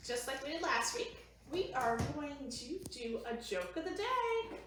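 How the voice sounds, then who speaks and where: Woman, children's storytime host, high animated voice, simple explanations, very echoey distant recording. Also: unhurried. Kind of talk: children's read-aloud and storytime presenting. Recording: very echoey room, distant mic, faint noise.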